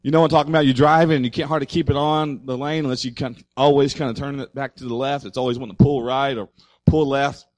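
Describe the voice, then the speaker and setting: A man preaching a sermon as pastor, slightly hoarse, speaking loudly and emphatically and calling out to the congregation. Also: mid-range voice, steady tone, fast, dry recording. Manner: loud, emphatic preaching with audience call-outs. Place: church.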